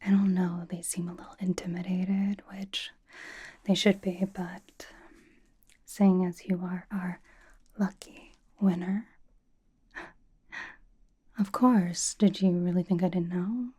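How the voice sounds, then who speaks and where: A woman playing a cocky young female pop idol in an ASMR roleplay, whispering breathily with halting, teasing, dramatic emphasis. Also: on the low side, steady tone, mellow, slightly rough. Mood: smug, teasing.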